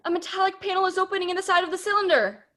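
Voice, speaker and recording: A woman's voice: extremely high pitch, racing, heavy background noise. A young woman in her late teens playing an earnest, formal radio news reporter in a comic radio play. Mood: dramatic. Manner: formal, dramatic announcing. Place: home room, on a video call.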